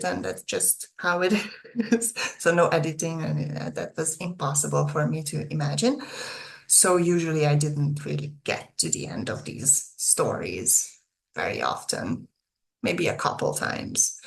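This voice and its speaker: A woman's voice: low-pitched, gravelly. A young adult woman with a Hungarian accent chatting casually and a little hesitantly, as an author talking to her viewers. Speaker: author presenting own video channel. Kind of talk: casual chat to camera.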